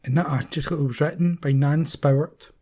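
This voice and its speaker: A man reading newspaper articles aloud in a steady, careful way, now and then restarting a word. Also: fairly high pitch, normal speed. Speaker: volunteer newspaper reader.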